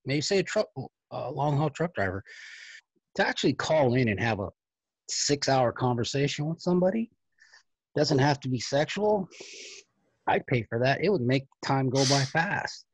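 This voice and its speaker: A man's voice: medium pitch, animated, normal speed, breathy edge, dry recording, poor audio. A middle-aged man co-hosting a casual podcast, plainspoken and fond of folksy sayings. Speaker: podcast co-host.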